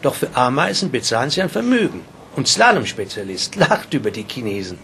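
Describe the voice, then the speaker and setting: A man reading in an absurdist radio play, explaining in a dry, deadpan manner. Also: mid-range voice, a little fast, a little hiss or hum.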